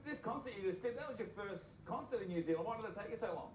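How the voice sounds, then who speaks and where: A man's voice: high-pitched, lively intonation, fast talker, echoey room, recorded from a distance, slight background noise. A man hosting a TV panel, bantering and laughing with the guest. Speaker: TV panel host.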